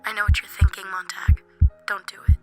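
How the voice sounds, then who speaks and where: A woman's voice: mid-range voice, little pitch movement, brisk, close to an everyday voice. A woman playing an urgent, scolding inner voice that cautions a troubled man with insistent warnings in a student drama. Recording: voice over loud music bed.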